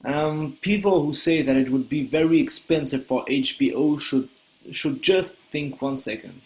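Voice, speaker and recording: A young man playing a male actor who answers an interview question with a relaxed, slightly boastful manner. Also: on the high side, normal speed, close to an everyday voice. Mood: offhand.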